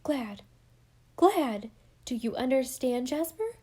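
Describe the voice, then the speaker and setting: An earnest, brave young woman confesses her love, her voice lifted a little higher, read by a woman narrator. The tone stays mellow and soft. It is a storybook reading. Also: moderate pace, close to an everyday voice. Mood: earnest, pleading. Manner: earnest, raised in pitch.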